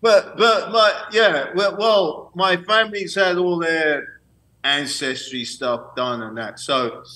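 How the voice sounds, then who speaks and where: A Barbados-born man in his fifties chats as a guest over a video call. He speaks slowly and haltingly, with playful asides. His voice is high and bright. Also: low-quality recording. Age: 50s. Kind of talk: casual video-call chat.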